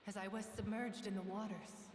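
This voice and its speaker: A woman voicing a gentle, sorrowful drowned woman in a video game, solemn and wistful, with long reverb on her voice. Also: mid-range voice, level tone, normal speed, a little hiss or hum. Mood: tender sorrow. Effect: heavy otherworldly reverb. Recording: echoing, long reverb, distant. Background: music.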